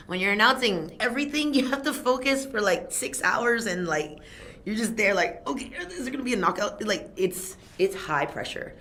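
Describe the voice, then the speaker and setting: A young Thai woman, a fight event announcer, chatting casually in an interview, telling animated stories with frequent laughs. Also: mid-range voice, moderate pace, slight background noise. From Thailand.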